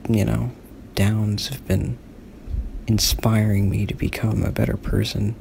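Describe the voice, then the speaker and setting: A young man recording an earnest voice memo on his phone, speaking in a slow, measured way. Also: on the low side, flat delivery, gravelly, darkish tone, a little hiss or hum, rough recording. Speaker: listener sending a voice memo. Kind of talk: casual voice memo.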